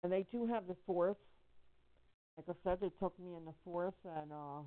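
A woman speaking as a caller on a phone conference, giving impassioned personal testimony in a halting, emphatic way, repeating phrases for weight. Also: fairly low pitch. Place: telephone conference call. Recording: narrow tinny phone-line audio.

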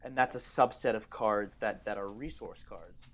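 A man's voice: on the low side, moderate pace. A man co-hosting a casual podcast, explaining points emphatically with repeated starts. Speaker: podcast co-host.

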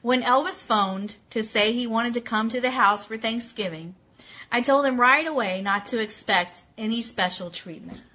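A woman with a bright, fairly high voice hosts an informal fan show. She is excitable and giggly, moving between casual chat and playful reading aloud. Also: moderate pace. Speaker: host of an Elvis fan radio show.

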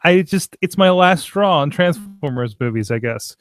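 A man co-hosting a podcast in casual chat, halting and repeating phrases for emphasis. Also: fairly high pitch, expressive, faint music behind. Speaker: podcast co-host.

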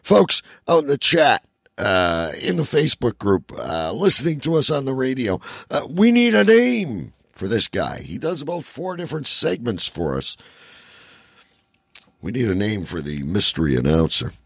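Man, talk show host, gravelly fry, close dry sound, rambling and joking, casual radio banter. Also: mid-range voice, huge pitch swings, moderate pace, dry recording.

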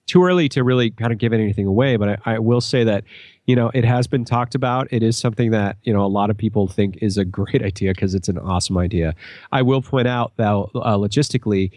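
A man's voice: on the low side, fast talker, a little mellow, dry recording, studio quality. A man speaking as a podcast guest in casual conversation, explaining things in an earnest way.

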